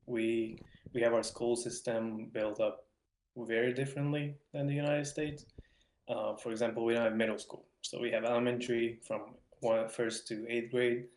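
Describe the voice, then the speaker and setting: A man's voice: mid-range voice, a little mellow. Young Hungarian man in an echoey room. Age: young adult. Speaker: podcast guest. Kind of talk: casual interview chat. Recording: roomy, echoing voice.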